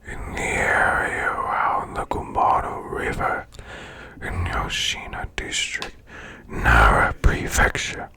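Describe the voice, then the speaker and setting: A man voicing a demon boss who reads eerie folklore as bedtime ASMR in a slow, hushed, growling rasp with long pauses. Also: natural voice. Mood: hushed, ominous. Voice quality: growling, gravelly, breathy rasp.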